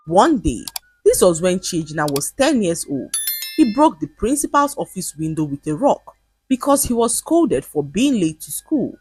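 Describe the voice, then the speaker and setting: A woman storyteller delivering scripted, dramatic folktale narration in an expressive way. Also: lowish voice, low-quality recording.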